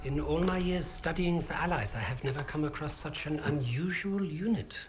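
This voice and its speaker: An actor playing a coldly polite, bookish Nazi German officer in a war game, speaking in a measured, formal, menacing way with a German accent. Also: male voice, fairly high pitch, close to an everyday voice. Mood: quiet menace. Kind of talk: game cutscene acting. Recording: music under the dialogue.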